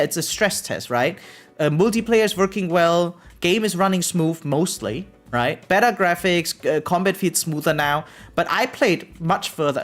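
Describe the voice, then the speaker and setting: Live game streamer, medium pitch, casual chat, rambling, thinking aloud, background music. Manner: rambling, repeatedly tags sentences with "right?". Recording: music playing behind the voice.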